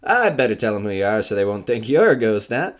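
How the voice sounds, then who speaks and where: A man's voice: on the low side, sing-song, quick pace. A man playing a chatty radio host who hunts ghosts, folksy and showman-like, with jittery bravado in an old-time broadcast style. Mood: jokey, uneasy. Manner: folksy, showman-like, nervously jokey. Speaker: radio host in an audio drama.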